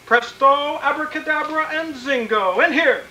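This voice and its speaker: A man playing a bright-voiced pompous swindler. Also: very high voice, unhurried, slight background noise, exaggerated voice. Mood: blustering, evasive. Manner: blustering, grandiloquent. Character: pompous wily male swindler, flowery and evasive. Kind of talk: 1940s radio comedy acting.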